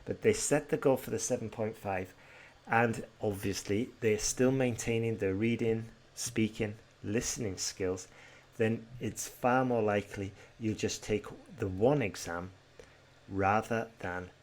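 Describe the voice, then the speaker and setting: A British man from Britain teaching an exam-prep podcast tutorial in a brisk, direct way, with some fillers and restarts. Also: lowish voice, fairly even intonation, rough recording. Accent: British English.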